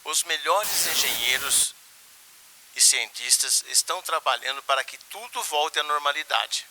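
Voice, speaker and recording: A man playing a government minister who gives a measured, formal, reassuring statement in a mock television news interview. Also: on the high side, lively intonation, pushed and bright, heavily acted, poor audio. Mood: official reassurance.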